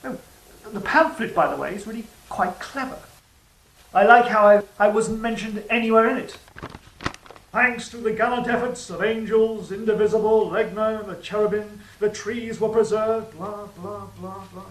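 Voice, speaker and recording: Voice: medium pitch, moderate pace, natural voice. An actor as a sly serpent, roomy and distant. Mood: sardonic. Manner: sardonic, smug, needling. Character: the Serpent of Eden, sly, sardonic and insistent. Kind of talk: stage-style audio drama acting.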